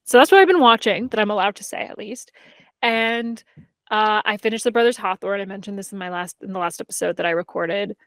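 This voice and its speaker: A woman with a medium-pitched voice talks like she is chatting with a friend on a podcast. Her speech is informal and full of "like". The recording is dry, with hardly any room sound. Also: brisk, no room sound. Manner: informal, conversational, peppered with "like". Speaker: podcast co-host. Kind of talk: casual chat about books and TV.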